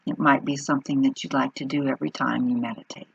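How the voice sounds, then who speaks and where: A woman leading an unscripted guided meditation in an unhurried manner, pausing between short phrases. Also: a bass voice, fairly even intonation, gravelly, breathy edge, no echo, dull audio.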